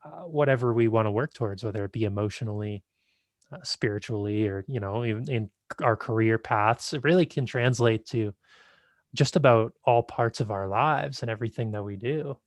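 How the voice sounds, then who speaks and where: A man's voice: on the low side, moderate pace, no room sound. A man of twenty-five hosting a podcast, chatting in an easygoing, agreeable way with loose fillers. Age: 20s. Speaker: podcast host. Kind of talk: casual interview chat.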